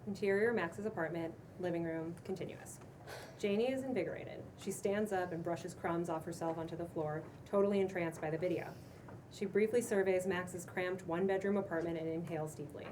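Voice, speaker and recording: Lowish-voiced woman reading stage directions, distant mic. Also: fairly even intonation, brisk, a little mellow. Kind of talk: reading screenplay directions aloud. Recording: distant mic, noisy room.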